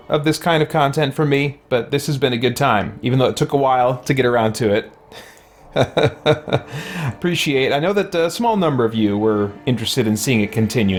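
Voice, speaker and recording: Man, game stream host, medium pitch, casual hesitant chat, thinking aloud, music behind.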